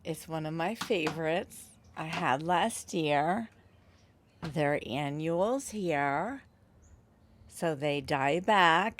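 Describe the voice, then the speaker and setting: A woman showing her garden on her vlog, gushing and excited. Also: low-pitched. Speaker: gardening channel host. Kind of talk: casual vlog narration.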